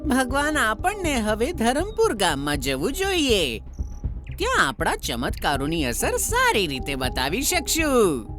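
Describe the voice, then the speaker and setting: A woman's voice: a little high, wildly swooping pitch, a little slow, music in the background. A woman voicing a crafty, eager male disciple of a fake guru in a children's cartoon, wheedling and scheming.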